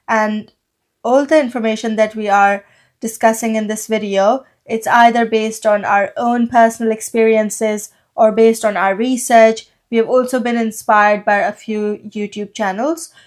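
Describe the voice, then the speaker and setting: A woman's voice: a little high, fairly even intonation, measured pace. Chatty Pakistani woman explaining at length. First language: Urdu. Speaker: podcast co-host. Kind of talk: casual conversational podcast.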